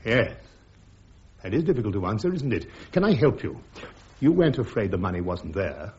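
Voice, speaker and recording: Sharp defiant british army major, english accent, clipped n ironic, dull old radio play sound. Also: male voice, fairly low pitch, big pitch swings, normal speed. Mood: cutting, insistent. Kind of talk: radio drama acting. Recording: dull, muffled radio drama recording.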